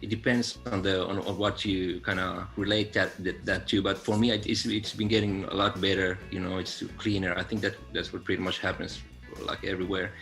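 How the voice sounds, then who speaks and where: A man's voice: low voice, flat delivery, fairly quick, fairly bright tone, faint music behind. A man from Finland, answering interview questions over a video call in a reflective, laid-back way. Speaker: guest musician.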